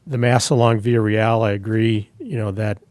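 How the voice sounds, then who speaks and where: Low-voiced man deliberating at a board meeting. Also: fairly even intonation, a little mellow, no echo. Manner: measured, hesitant with ums. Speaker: board member. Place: council meeting room. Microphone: desk microphone.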